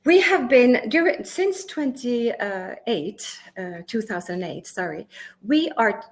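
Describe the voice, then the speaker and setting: Animated woman giving a talk. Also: on the high side. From Sweden. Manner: emphatic, asks the audience rhetorical questions; lists words one by one with pauses between them. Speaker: conference speaker and workplace consultant. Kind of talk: prepared conference talk.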